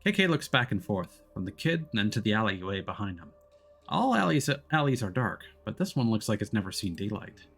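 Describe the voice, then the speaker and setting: A male game streamer with a fairly low voice and lively, varied intonation. He reads story narration aloud expressively and slips easily into casual live chat. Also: brisk, vocal fry, husky, soft background music.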